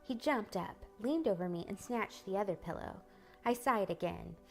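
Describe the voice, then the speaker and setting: A woman narrating a novel in the first person, soft and measured. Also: mid-range voice, expressive, gravelly, soft background music. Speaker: audiobook reader.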